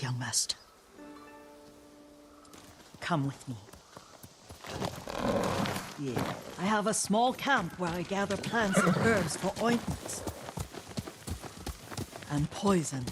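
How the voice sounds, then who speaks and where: Old lady servant, gentle n motherly, soft breathy voice, game cutscene w music behind. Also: on the low side, expressive. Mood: quiet, matter-of-fact. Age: older. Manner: gentle, deferential, unhurried. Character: elderly devoted female servant and herbalist, gentle, motherly. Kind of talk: cinematic video game acting.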